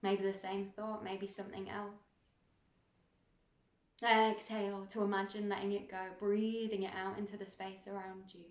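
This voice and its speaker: A woman leading a guided meditation in a gentle, soothing, unhurried manner, leaving long pauses between instructions. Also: mid-range voice, level tone. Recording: roomy, echoing sound.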